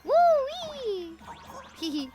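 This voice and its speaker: A woman livestreaming a game, chatting with viewers in an excitable, playful way full of cutesy exclamations. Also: very high voice, very slow, loud music behind the voice. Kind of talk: live game streaming commentary with chat.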